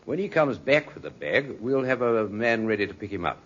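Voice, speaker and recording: An actor playing a dry, shrewd police inspector in a 1950s radio drama, with measured, sceptical questioning. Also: male voice, mid-range voice, expressive, natural voice. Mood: businesslike. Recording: muffled, scratchy 1950s radio recording.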